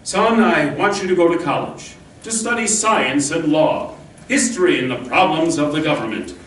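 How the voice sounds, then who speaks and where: A woman playing a noble masked male Ranger in an old-time radio Western, speaking earnestly in measured declamation. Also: low-pitched, lively intonation, slight background noise. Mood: earnest, resolute. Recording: roomy distant stage pickup with music.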